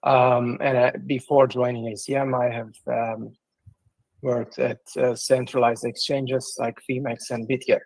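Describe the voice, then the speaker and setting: A man from Turkey answering interview questions in a live audio session. He pauses often on ums and uhs as he explains. His voice has a gravelly, fry-tinged texture, heard dry and close with no room sound. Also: medium pitch, flat delivery, darkish tone, dry recording, poor audio. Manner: hesitant, many ums and uhs. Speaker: guest, project business development director. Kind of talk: casual livestream interview.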